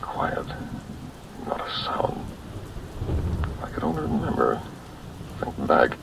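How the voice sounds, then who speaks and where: Confused guy buried alive, low and creaky, halting, old radio thriller, distant with rain noise. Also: full character voice. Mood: groggy. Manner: halting, muttering to himself, then calling out. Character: groggy, bewildered man buried alive in a coffin.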